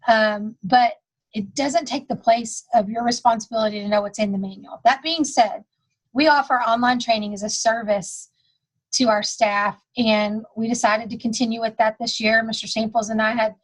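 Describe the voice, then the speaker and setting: A woman's voice: on the high side, rough recording. A woman giving a recorded staff training talk, casual, with dry humor and many fillers. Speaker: school testing coordinator.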